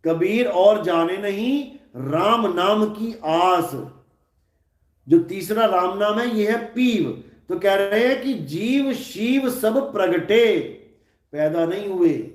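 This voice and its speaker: Man preaching at a slow, measured pace, posing questions and answering them, echoey hall sound. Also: sits high. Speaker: spiritual teacher. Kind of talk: live satsang discourse. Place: large hall.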